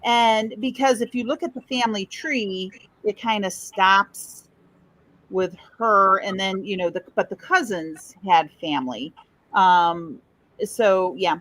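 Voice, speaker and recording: A woman's voice: medium pitch, takes it slowly, fairly bright tone, dry recording, rough recording. A woman from Chicago, a podcast guest, telling a family story in a rambling way that trails off and circles back. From Chicago, USA. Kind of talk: casual storytelling conversation.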